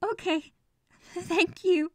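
A woman voicing a fragile, remorseful young female cartoon unicorn in a fan audio drama, murmuring softly and haltingly. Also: extremely high pitch, no room sound, studio quality, full character voice. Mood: shaky, grateful.

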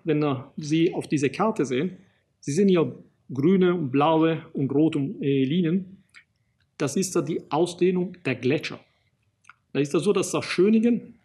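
Italian guy giving a lecture at a desk mic, kinda high voice, a bit husky, lots of ums and restarts. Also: darkish tone. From Italy. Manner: halting, many fillers and restarts. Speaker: archaeologist lecturer. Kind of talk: recorded public lecture. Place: small studio in front of a banner.

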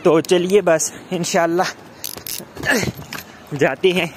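A man's voice: high-pitched, fairly even intonation, takes it slowly. A young man from Pakistan chatting casually to viewers in a travel vlog, filmed with a camera held at arm's length outdoors and in a car. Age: young adult. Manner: chatty, addresses viewers directly. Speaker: vlogger. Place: outdoors, in a car and on a street and riverbank. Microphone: phone or camera at arm's length. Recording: noisy outdoor phone recording.

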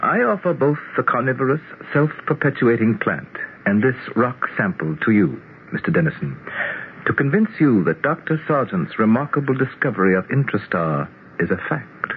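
An actor playing a courtly, self-assured otherworldly prince in a 1970s radio drama, formal and theatrical. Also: male voice, mid-range voice, sing-song, normal speed, natural voice. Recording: muffled, hissy old radio broadcast.

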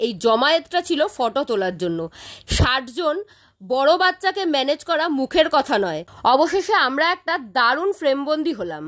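Excited woman vlogging. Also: sits high. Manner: excited and chatty. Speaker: vlogger host. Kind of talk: casual vlog narration. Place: home room, then busy indoor cafe. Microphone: handheld camera at arm's length.

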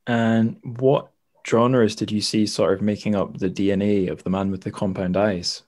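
Male podcast host, fairly low voice, putting casual but considered questions to a guest. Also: flat delivery, moderate pace, a little mellow. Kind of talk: casual thoughtful interview questions.

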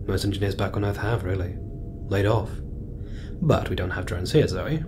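A man playing a thoughtful, apologetic space mission leader in an audio drama, speaking in a gentle, musing, hesitant way. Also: moderate pace, natural voice. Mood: friendly. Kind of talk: naturalistic audio drama acting. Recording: clear low rumbling noise.

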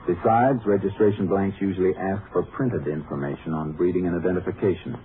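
An actor playing a shrewd, matter-of-fact Texas Ranger detective in a clipped 1950s radio drama style. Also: male voice, low voice, fairly even intonation, brisk, a little hiss or hum, natural voice. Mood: urgent, sure. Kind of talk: 1950s radio drama acting, narration and dialogue. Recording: muffled 1950s radio recording, music.

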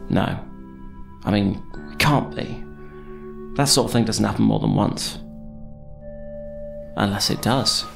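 A man's voice: on the low side, slow, creaky, pauses often, fairly bright tone, faint music behind, exaggerated voice. An actor playing a dry, sardonic male investigator in an audio drama. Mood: guarded.

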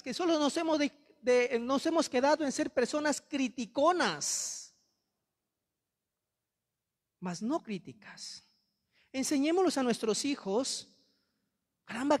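A young Peruvian priest with a Peruvian accent preaching a sermon in a large church, holding a handheld mic, impassioned and reproachful, repeating his phrases. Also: very high-pitched. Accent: Peruvian Spanish. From Peru. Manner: impassioned, rhetorical repetition, reproachful. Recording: long church reverb.

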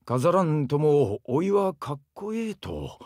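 An actor playing a brash, warm-hearted young seaman in a Japanese mobile game, with a southern Japanese dialect and a husky, gravelly, boisterous delivery. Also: a little high, very animated, takes it slowly, no room sound, studio quality. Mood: bashful, subdued. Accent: southern Japanese dialect, Kagoshima-like. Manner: boisterous, rustic, swinging from bashful murmurs to hearty shouts. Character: brash, warm-hearted young muscular seaman, eager big-brother type.

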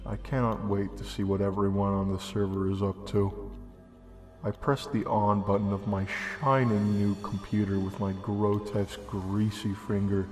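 A young man giving a deadpan, deliberate reading of a comedic horror story as narrator. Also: lowish voice. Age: young adult. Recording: long reverb, music behind. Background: music.

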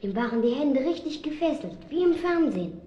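An actress playing an eager child in a radio play, telling things in a chattering, childlike way. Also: female voice, sits high, sing-song, fairly quick, dark tone, roomy sound, dull audio, slight background noise. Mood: excited. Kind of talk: German radio play dialogue.

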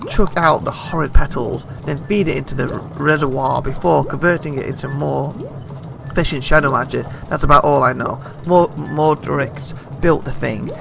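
A grumbling skeleton tinkerer from a fantasy game, voiced by a man reading aloud, sardonic and easily hurt. The voice is a little high and full of fry, halting at times, over clear noise. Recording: noisy home game recording.

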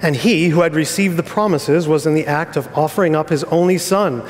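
Casting: American male pastor, middle-aged, slightly high voice, informal joking delivery, reverberant auditorium. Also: brisk. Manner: informal, joking, checks in with "right?". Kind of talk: conversational sermon. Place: on stage in a church auditorium. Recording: reverberant hall sound.

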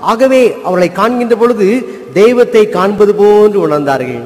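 A middle-aged male pastor with a Tamil Nadu accent, preaching earnestly at a lectern microphone, stressing key words and dropping low to close each point. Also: animated, moderate pace, darkish tone. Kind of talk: sermon. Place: church sanctuary with congregation. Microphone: stand mic at lectern. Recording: long church reverb.

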